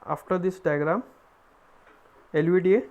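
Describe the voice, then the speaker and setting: A man's voice: high voice, moderate pace, a little mellow. A man with an Indian accent giving a live online engineering lecture, posing questions to himself and repeating phrases as he explains.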